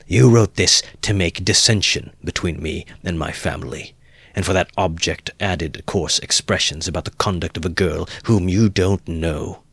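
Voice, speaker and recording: A man's voice: low voice, normal speed, creaky, fairly bright tone, breathy edge, no room sound, exaggerated voice. A man voicing a bitter young man who speaks sharply and curtly. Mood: cutting. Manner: cutting and curt.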